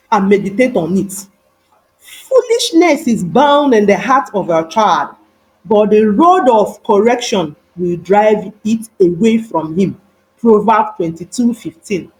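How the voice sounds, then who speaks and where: A woman narrating a moral folktale in a solemn, deliberate, emphatic and dramatic style. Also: medium pitch, a little mellow, poor audio. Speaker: storyteller.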